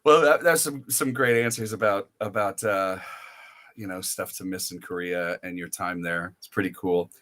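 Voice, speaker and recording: American male host, bright forceful voice, loose chatty interviewer who wanders into anecdotes. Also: deep voice, steady tone, moderate pace. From United States. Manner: rambling, storytelling with many restarts. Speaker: podcast host. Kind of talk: casual interview chat.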